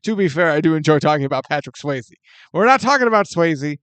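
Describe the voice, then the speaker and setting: A man co-hosting a casual film review chat, animated and jokey, often slipping into mock voices. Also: fairly high pitch, quick pace, clean tone, no room sound. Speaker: podcast co-host.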